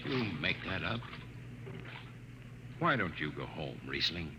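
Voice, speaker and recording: A man's voice: deep voice, very animated, slow pace, very noisy, heavily acted. An actor playing a cynical spaceman narrator and loyal friend, blunt and sardonic in a 1950s radio drama. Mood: gruff. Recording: old radio broadcast over music.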